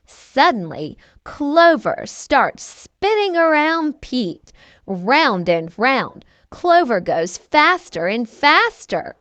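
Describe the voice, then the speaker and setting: Very slow, high-voiced woman reading a storybook. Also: no room sound, lo-fi sound. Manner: expressive, deliberate storytelling. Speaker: children's story reader. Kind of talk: scripted read-aloud storybook narration.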